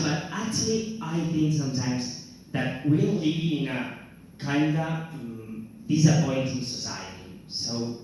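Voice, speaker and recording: Spanish-accented comedian in an echoey club. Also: male voice, mid-range voice, a little mellow. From Spain. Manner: self-mocking, playful, theatrical. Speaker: stand-up comedian. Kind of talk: stand-up routine. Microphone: handheld stage mic. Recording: very echoey room, distant mic, faint noise.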